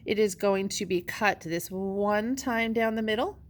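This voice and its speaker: A woman's voice: mid-range voice, unhurried. A woman teacher giving step-by-step instructions and reading a storybook aloud expressively to young pupils. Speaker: elementary school teacher.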